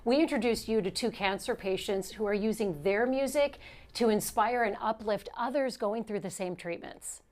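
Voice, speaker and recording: A woman's voice: on the high side, darkish tone. A woman hosting a health show, chatting with a guest and narrating a report. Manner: upbeat, chatty, quick reactions. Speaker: host. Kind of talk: conversational interview and scripted narration.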